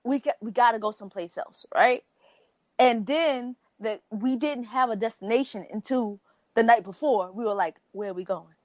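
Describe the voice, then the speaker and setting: Higher-voiced woman in casual slangy chat. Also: normal speed, no echo. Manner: informal, slangy. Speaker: podcast speaker. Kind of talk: casual chat between friends.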